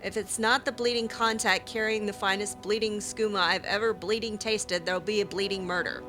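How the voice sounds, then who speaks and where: A woman reading out a grumbling male bandit's journal, in a gruff, complaining way. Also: medium pitch, fairly even intonation, a little fast, over music, close to an everyday voice. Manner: gruff complaining, swears "bleeding".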